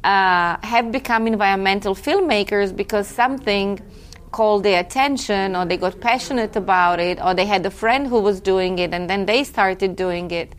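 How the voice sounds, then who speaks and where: A woman's voice: medium pitch, moderate pace. A woman from Croatia, a guest in an interview, talking in a reflective, chatty way with a few self-corrections. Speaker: guest, film festival director. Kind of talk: relaxed conversational interview.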